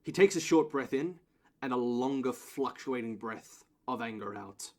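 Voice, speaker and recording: A man's voice: mid-range voice, expressive, unhurried. A man reading scripted stage directions as the narrator of an audio play.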